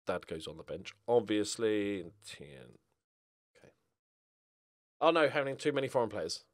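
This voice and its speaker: A man's voice: lowish voice, sing-song, moderate pace. A middle-aged British man giving casual, rambling, jokey gameplay commentary, thinking aloud as he swings between muttering and exclaiming. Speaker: gaming streamer playing a football management game.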